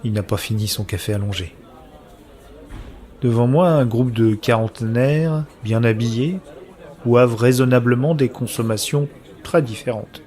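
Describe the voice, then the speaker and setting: An actor playing a brooding, anguished man who narrates his own science-fiction story in measured, literary, introspective phrasing. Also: mid-range voice, a little mellow, natural voice. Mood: wistful, contemplative. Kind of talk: scripted first-person narration of sci-fi audio drama.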